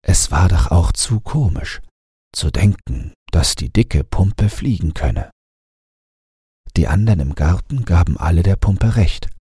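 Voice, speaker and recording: A man reading a bedtime story as an audiobook narrator, in a gentle, measured manner. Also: low voice, lively intonation, breathy edge, dry recording, studio quality.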